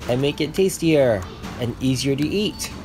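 A man's voice: medium pitch, lively intonation, unhurried, music in the background. Chatty man vlogging his day. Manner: chatty, explains things to viewers. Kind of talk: casual vlog narration.

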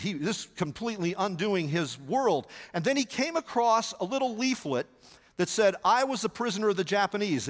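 Middle-aged Southern preacher, high-pitched male voice, animated storytelling sermon on a headset, long church-hall reverb. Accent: Southern American. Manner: animated, gesturing while pacing the stage. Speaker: pastor. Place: large church auditorium stage.

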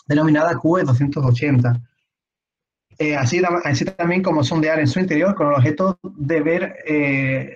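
Hoarse man presenting, halting. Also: fairly high pitch. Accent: Andalusian Spanish. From Spain. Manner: halting, many restarts and fillers. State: slightly hoarse. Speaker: archaeologist presenting. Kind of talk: conference talk over slides. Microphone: webcam on a video call. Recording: dull lo-fi video call audio.

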